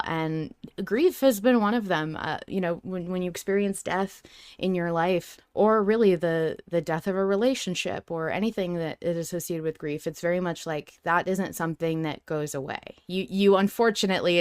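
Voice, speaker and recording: A woman co-hosting a podcast, talking casually in an earnest, expressive and reflective way about a story. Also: lowish voice, smooth, dry recording, studio quality. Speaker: podcast co-host. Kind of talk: casual fan discussion of a story.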